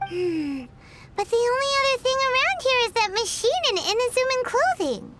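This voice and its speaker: A woman voicing a small, childish, excitable fairy companion in a video game, squeaky and inquisitive. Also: normal speed, very noisy. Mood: puzzled. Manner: exclamatory, inquisitive. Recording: loud music behind the voice.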